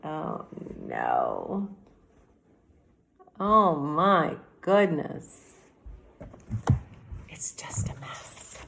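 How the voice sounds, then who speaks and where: A middle-aged woman teacher reads a children's story aloud. Her delivery is unhurried and highly animated, with dramatic phrasing and explanatory asides to the children. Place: small room at home, by a window.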